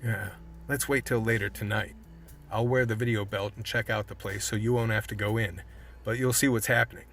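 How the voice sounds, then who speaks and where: A man's voice: fairly low pitch, fairly even intonation, a little fast, fairly bright tone, soft background music, lightly acted. A man playing a determined cop, earnestly persuading his team in a radio play. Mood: decisive.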